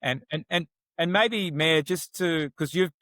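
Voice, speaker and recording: A man's voice: a little high, a little slow, pushed and bright, no echo, studio quality. A middle-aged man with an Australian accent, hosting a conversational interview and often restarting and repeating words. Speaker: podcast host.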